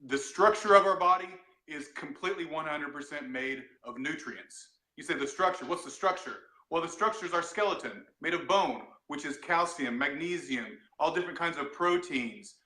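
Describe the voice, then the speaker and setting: A man's voice: on the high side, lively intonation, bright, forceful tone, reverberant, low-quality recording. A man, a doctor, explaining health topics in a plain teaching tone from a home room on a video call. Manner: plain teaching tone, quick laughs. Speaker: doctor, guest expert. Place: home room with cushions for sound deadening.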